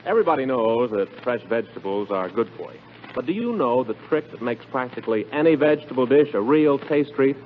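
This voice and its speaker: A man's voice: medium pitch, lively intonation, fairly quick. A man announcing and reading an advertisement in a 1940s radio style. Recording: muffled, slightly noisy 1940s radio.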